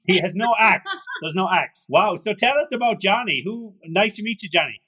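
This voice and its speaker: A man's voice: high voice, animated, normal speed, sharp tone, husky. A man hosting an interview, asking rambling, playful questions in a casual way. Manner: rambling, jokey questions with restarts. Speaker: podcast host, interviewer.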